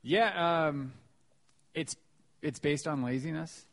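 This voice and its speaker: A man's voice: fairly high pitch, takes it slowly, vocal fry. American man chatting as podcast guest. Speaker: podcast guest, writer and reporter. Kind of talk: casual chat, being interviewed.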